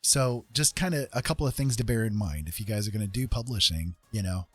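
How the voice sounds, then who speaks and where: A man's voice: lowish voice, a little fast, fairly bright tone, breathy edge, dry recording. A man giving casual advice to viewers as a livestream host, talking in an informal, rambling way and often checking in with the listener.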